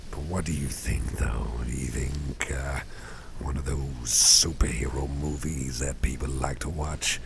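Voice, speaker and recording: Man acting as gentle caring male boss, ASMR roleplay, very slow pace, creaky soft murmur, breathy, reassuring, chatty rambling. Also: rumbling low, noisy background, exaggerated voice. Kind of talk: ASMR roleplay, soft personal-attention talk.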